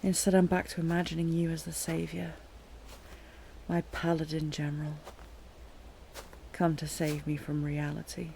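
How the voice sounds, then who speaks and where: A woman playing a wounded, delirious captive queen in an intimate audio roleplay, breathy and often whispering, halting and lovelorn as if half-dreaming. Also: deep voice, level tone, warm tone, a little hiss or hum, low-quality recording. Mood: delirious longing.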